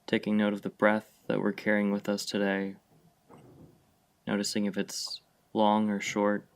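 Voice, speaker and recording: A man leading a guided meditation, giving gentle, unhurried instructions with long pauses between phrases. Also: lowish voice, monotone. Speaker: meditation guide, mindfulness fellow. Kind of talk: guided meditation, slow and spacious.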